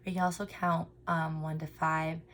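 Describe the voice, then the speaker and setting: A woman's voice: low-pitched, little pitch movement, normal speed, a bit bright, a little hiss or hum. A young woman in her twenties presenting a speech therapy lesson in an earnest, informal way, with frequent ums.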